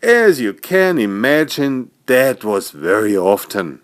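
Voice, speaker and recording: A man narrating a scripted bedtime story for small children as its author, in an expressive storytelling manner. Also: medium pitch, takes it slowly. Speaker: author narrating own stories.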